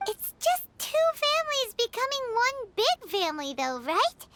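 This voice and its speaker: A woman voicing a tiny, squeaky, childlike game companion, blunt and naive. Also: sing-song, a little slow, background noise, heavily acted. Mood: puzzled. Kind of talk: video game voice acting.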